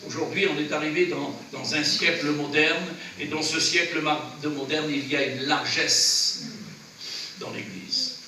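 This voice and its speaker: A man's voice: a little high, steady tone, a little slow, sharp tone. A man preaching a sermon in church, who has a cold and a runny nose, exhorting earnestly in short phrases. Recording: very echoey church, from far off, noisy.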